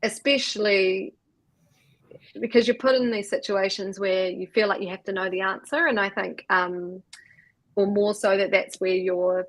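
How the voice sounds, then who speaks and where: A woman's voice: mid-range voice, fairly even intonation, normal speed, dry recording, poor audio. A woman co-founder from New Zealand answering interview questions in a reflective, casual way, with many fillers. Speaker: co-founder and co-CEO, guest.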